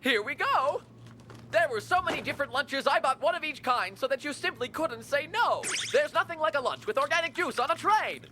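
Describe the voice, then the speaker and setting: A man's voice: extremely high pitch, expressive, a little fast. An actor playing a fussy, doting, flamboyant young man in an English anime dub. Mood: eager, coaxing. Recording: clear vehicle noise behind.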